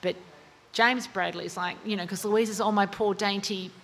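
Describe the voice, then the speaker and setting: An Australian woman speaks about her writing at a mid pitch, in a loose and chatty way. She stops often for fillers and corrects herself mid-sentence. A faint hiss of noise runs under the recording. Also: fairly even intonation, normal speed, fairly bright tone. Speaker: author. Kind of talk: interview at a book talk.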